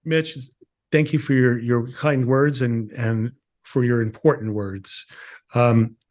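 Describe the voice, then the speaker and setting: A man co-hosting a live political discussion, laying out his points in short, measured phrases. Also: medium pitch, steady tone, darkish tone. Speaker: co-host of a political talk show.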